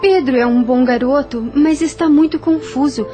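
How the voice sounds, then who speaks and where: Woman acting a high-voiced, frank young widow. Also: normal speed, warm tone, soft background music, natural voice. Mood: guarded, earnest. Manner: formal, direct. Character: proud, frank, kindly young widow on colonial farm. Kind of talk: audio novel dialogue.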